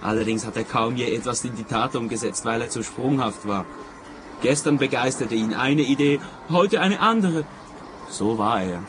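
An actor in a radio play playing a laconic, unambitious small-time machine tinkerer, terse and matter-of-fact. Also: male voice, mid-range voice, lively intonation, normal speed, music in the background, lightly acted. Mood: resigned. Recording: clear outdoor background noise.

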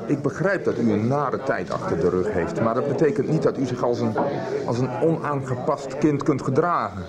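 An actor in a radio soap playing a stern, pompous schoolteacher who admonishes formally. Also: male voice, mid-range voice, lively intonation, normal speed, over a noisy crowd, natural voice. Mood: stern, scolding.

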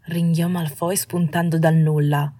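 Low, husky woman narrating fiction. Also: level tone, a little slow, mellow, dry recording, studio quality. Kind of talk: scripted audiobook narration of fiction.

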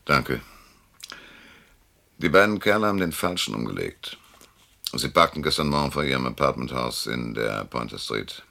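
A man's voice: extremely low pitch, lively intonation, normal speed, vocal fry, pauses often, bright, forceful tone, no room sound, full character voice. An actor playing a world-weary male private detective in a German hardboiled radio drama, dry, laconic and self-mocking. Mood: terse, probing.

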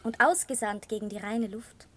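A woman's voice: mid-range voice, normal speed, fairly bright tone, natural voice. A woman playing a dreamy, riddling child in a literary radio drama, talking in clipped, cryptic, poetic phrases. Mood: cryptic, pointed.

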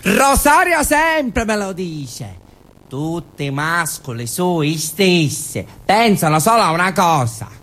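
A woman's voice: fairly low pitch, sing-song, slow, fairly bright tone, exaggerated voice. A woman acting a confiding character in a theatrical monologue.

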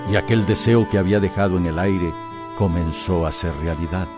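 A man's voice: deep voice, fairly quick, lightly acted. A man with a Costa Rican accent giving dramatic scripted narration for a radio soap. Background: plucked guitar music.

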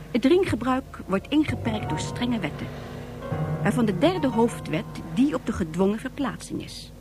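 A woman narrating a radio drama from a script in a deliberate, dramatic storytelling manner. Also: medium pitch, a little mellow. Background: music.

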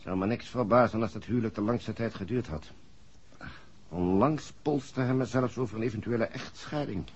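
Low, husky, confiding male assistant. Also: normal speed, darkish tone. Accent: Dutch (Netherlands). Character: affable, gossipy male assistant, slightly evasive. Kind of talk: 1960s radio play acting. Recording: dull, muffled 1960s radio recording.

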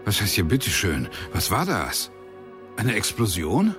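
A man's voice: on the low side, huge pitch swings, a little slow, a bit bright, soft background music, exaggerated voice. An actor playing a skeptical superior angel in a radio drama, wry and mock-indignant as he questions an underling. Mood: wry disapproval. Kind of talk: German radio drama acting.

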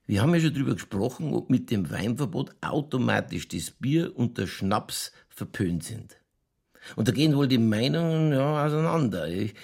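A world-weary police chief from Lower Bavaria, a man with a thick regional accent and a gravelly, husky voice. His pitch moves animatedly as he rambles on in tipsy, colloquial, cynically jovial radio-play dialogue. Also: darkish tone. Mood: wry, rambling. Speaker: actor in a crime radio play. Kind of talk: naturalistic German radio drama dialogue.

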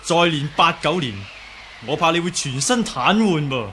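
A man acting a calm young martial artist who explains healing humbly and politely in Cantonese radio drama. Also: fairly high pitch, normal speed, slight background noise. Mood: calm, diagnostic.

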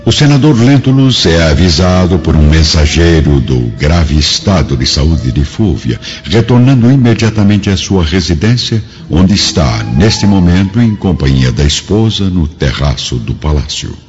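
A man with a very deep voice narrates a radio drama solemnly. His voice carries a long reverb, with music playing clearly behind it. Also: big pitch swings, moderate pace, hardly any pauses, a little mellow, lo-fi sound.

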